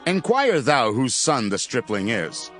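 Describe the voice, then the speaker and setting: A man's voice: medium pitch, sing-song, measured pace, fairly bright tone, soft background music. An actor playing a commanding, jealous king in a Bible audio drama, with a regal, declamatory delivery. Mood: curious, commanding.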